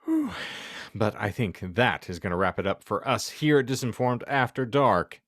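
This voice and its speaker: A man's voice: medium pitch, very animated, normal speed, a bit bright, no room sound, clean studio sound. A man hosting a podcast, delivering casual show patter with playful, mock-formal wording. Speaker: podcast host. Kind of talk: casual, scripted-sounding show sign-off patter.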